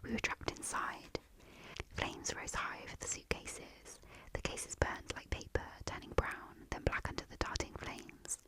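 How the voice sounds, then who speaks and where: A woman's voice: unhurried, poor audio. Woman whispering a spooky storybook. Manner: soft, hushed storytelling. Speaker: narrator.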